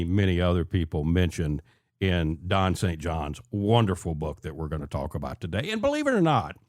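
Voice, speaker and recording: A man's voice: low-pitched, no echo. An older man hosting a talk radio show in a chatty, folksy, conversational way from a close studio microphone.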